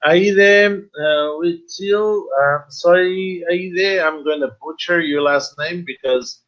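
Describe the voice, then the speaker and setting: Male webinar host, 50s, slightly high voice, informal and halting, muffled video-call sound. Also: fairly bright tone. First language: not Spanish, though speaking it at times. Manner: halting, informal, switching between English and Spanish. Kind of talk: casual webinar talk, reading and answering viewer questions. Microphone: video call, computer microphone.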